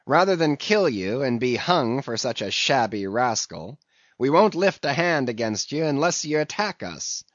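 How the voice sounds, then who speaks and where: A man voicing a defiant rough sailor. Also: mid-range voice, big pitch swings, normal speed, dry recording. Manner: defiant, forceful. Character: defiant rough male sailor ringleader, bold. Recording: dull, dry lo-fi recording.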